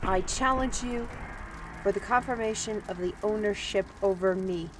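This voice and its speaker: A woman playing an earnest, principled female steward in a fantasy audio drama, solemn and measured. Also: mid-range voice, over music, lightly acted, low-quality recording. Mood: defiant.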